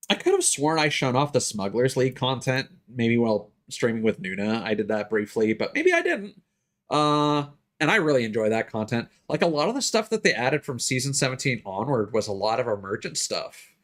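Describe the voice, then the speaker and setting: A man with an average-pitched voice talks casually to a livestream. He explains things in an informal, rambling streamer style and swears freely. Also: very animated, normal speed, a bit bright. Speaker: game streamer.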